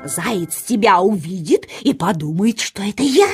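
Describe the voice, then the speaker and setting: An actress playing a calm, sly female tortoise in a children's audio play. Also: medium pitch, over music, close to an everyday voice. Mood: scheming. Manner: calm, gently sly, unhurried.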